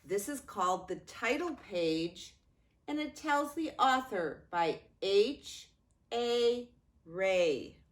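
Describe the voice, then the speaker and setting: An older woman, a grandmother, reading a children's story aloud in an expressive way, drawing out words and pausing. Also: medium pitch. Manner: expressive, with drawn-out words and pauses.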